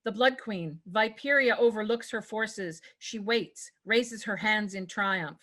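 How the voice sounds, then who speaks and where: A woman's voice: medium pitch, measured pace, pushed and bright. A woman reading scripted stage directions as the narrator of a table read.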